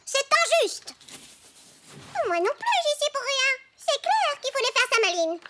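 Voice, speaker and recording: A woman's voice: very high voice, big pitch swings, measured pace, very noisy, full character voice. A woman voicing a cheeky, mocking puppet in a French children's cartoon, with taunting, theatrical delivery. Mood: indignant. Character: cheeky, mocking ventriloquist's puppet putting on a show. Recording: loud children's music behind.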